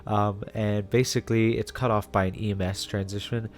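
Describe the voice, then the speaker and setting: A man's voice: on the low side, little pitch movement, normal speed. A man hosting a casual analysis video, talking conversationally with wry asides. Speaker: host.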